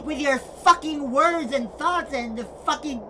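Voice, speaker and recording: Woman acting betrayed friend in audio drama, highish voice, slow and emphatic, noisy background. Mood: hurt, furious. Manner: deliberate, emphatic, accusing. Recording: clear background noise and ambience.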